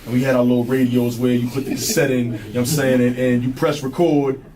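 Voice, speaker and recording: A young man from New with a strong, deep voice, talking as a rapper guest in laid-back street slang. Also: little pitch movement, fast, mellow. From New Jersey. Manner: laid-back street slang, keeps saying "you know what I'm saying". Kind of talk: casual interview chat. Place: radio studio. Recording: distant mic with faint hiss.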